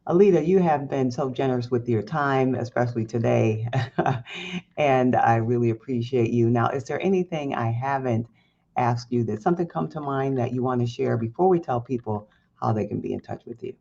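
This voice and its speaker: A man hosting a podcast interview, speaking in a measured and appreciative way. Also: medium pitch, vocal fry, mellow, dull audio. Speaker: podcast host.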